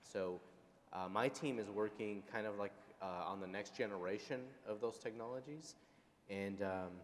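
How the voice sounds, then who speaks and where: A man from El Paso, Texas, with a somewhat low voice, introducing himself on a panel. He talks hesitantly and fills his pauses. The hall adds a long reverb and faint noise. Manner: hesitant, with many fillers. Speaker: panelist, engineering manager. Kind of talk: live panel self-introduction.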